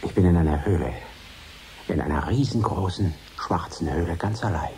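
An actor playing a troubled, withdrawn man in a radio drama, speaking a brooding, halting inner monologue. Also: low voice, lively intonation, dark tone. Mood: haunted, withdrawn. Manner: halting, brooding, fragmentary phrases. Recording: faint hiss.

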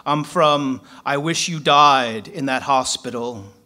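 A man's voice: medium pitch, takes it slowly, pushed and bright. A man in his forties from Philadelphia, a poet reading his work aloud from paper at a stand mic on a small club stage, then telling a story.

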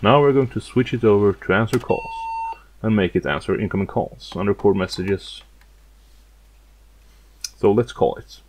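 Low-voiced man giving a demonstration. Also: moderate pace, pauses often, a little hiss or hum, poor audio. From outside the United States. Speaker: hobbyist demonstrating a vintage gadget. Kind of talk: step-by-step demonstration narration.

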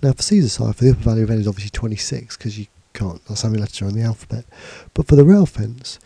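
Male tutor, stuffy from a cold, very dark and husky tone, relaxed and halting delivery, dry close sound. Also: on the low side, no room sound. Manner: casual, hesitant with fillers and restarts. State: has a cold, bunged up. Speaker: computing teacher. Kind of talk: screencast tutorial. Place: indoors, voice-over on slides and screen recording.

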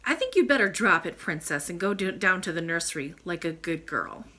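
A blunt, stubborn young boy from a storybook, put on by a woman. He talks in a sulky, offended way with a forceful edge and lively swings of pitch. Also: brisk, full character voice. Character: stubborn, gruff, plain-spoken young miner boy.

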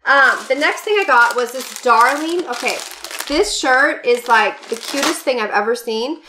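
A woman's voice: high-pitched, a little slow, noisy background. A woman in her late thirties chatting casually to camera about party supplies she bought, gushing and full of fillers, sitting at a desk at home. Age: 30s. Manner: bubbly, gushing, many fillers and "you guys". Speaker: haul vlogger. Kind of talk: casual chatty product haul. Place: home room with party decor, indoors.

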